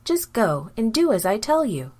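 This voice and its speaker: A woman's voice: on the high side, very animated, moderate pace, a little mellow, voice pushed up. A woman playing a bossy, scheming, determined mother in a children's Bible story, speaking firmly and commandingly. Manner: firm, commanding.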